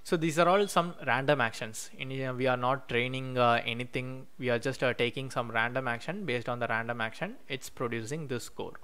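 Male tutorial host with a smooth, clear mid-pitched voice, narrating code at a desk with typing behind. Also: fairly bright tone. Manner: explains step by step while typing code, many "uh" pauses. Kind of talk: screen-recorded coding walkthrough. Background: keyboard typing.

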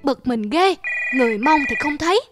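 A woman's voice: high voice, expressive, normal speed. A woman voicing an anxious young female student, an older sister, in an emotional cartoon drama. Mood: irritated. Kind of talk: animated drama voice acting. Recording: music behind the voice.

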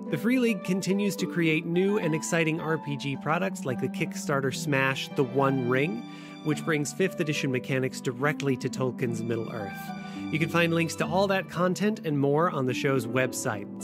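Man, podcast host, scripted narration, articulate, measured, medium pitch, clear guitar music underneath.

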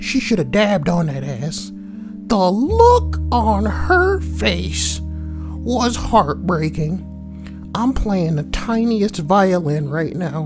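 Woman with lively, crude comic delivery. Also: on the low side. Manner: crude, sweary, joking asides. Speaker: online narrator and commentator. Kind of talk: reading forum posts aloud with crude comic commentary.

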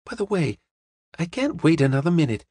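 A man's voice: on the high side, very animated, fairly quick, dark tone, slightly rough, no room sound, voice pushed up, exaggerated voice. A man voicing an eager, playful young American woman in an audiobook, with a breezy, informal way of talking. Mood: eager, puzzled.

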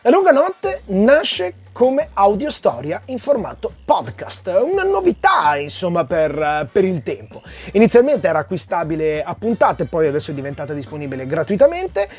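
Guy hosting a comics review, kinda high husky voice, chatty, music behind him. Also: huge pitch swings, normal speed, darkish tone. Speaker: comic book reviewer, video host. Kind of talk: comic review to camera, conversational. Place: small room with shelves of comics, indoors. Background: background music.

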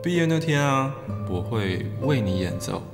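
A man's voice: mid-range voice, lively intonation, moderate pace, gravelly. A man playing an earnest, lovestruck schoolboy in a radio drama. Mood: tender. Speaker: voice actor. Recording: reverberant hall, loud music.

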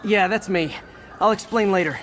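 An actor playing a quippy young masked hero in a video game, speaking briskly with wry quips. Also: male voice, high-pitched, fairly bright tone. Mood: calm.

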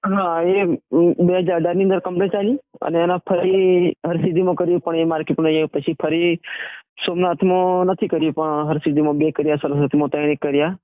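A man's voice: a little high, little pitch movement, fairly quick, dry recording. A man, a singer and band owner talking casually in a phone interview. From Gujarat, India. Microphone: phone call. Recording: tinny narrowband phone line.

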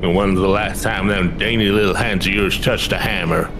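A man voicing a low gruff blacksmith. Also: fairly even intonation, normal speed, natural voice. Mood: mocking, gruff. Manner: blunt, colloquial, needling. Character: gruff, burly male blacksmith, blunt and mocking. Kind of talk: game dialogue read aloud in character. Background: game music.

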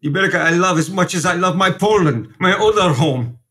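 Earnest, defiant immigrant priest. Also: male voice, high-pitched, lively intonation, brisk, fairly bright tone. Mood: impassioned pleading. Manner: earnest, pleading, formal phrasing. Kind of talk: radio play acting.

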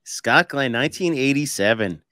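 A man's voice: medium pitch, animated, moderate pace, pushed and bright, no room sound, studio quality. A middle-aged American man chatting casually as a podcast host, speaking into a desk microphone. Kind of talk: casual chat. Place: home room, indoors. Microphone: desk microphone up close.

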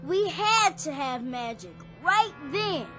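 Small boy begging, really high bright voice, slow and earnest, radio play. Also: lively intonation, faint music behind, full character voice. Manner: earnest, imploring, simple childlike speech. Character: earnest, pleading young boy who believes in magic. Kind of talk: dramatic radio play acting.

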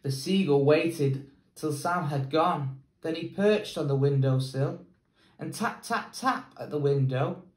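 A young man with an English accent, a teacher reading a picture book aloud in a slow, measured way, filmed indoors on a close camera. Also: a little high, echoey room, rough recording. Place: plain indoor room.

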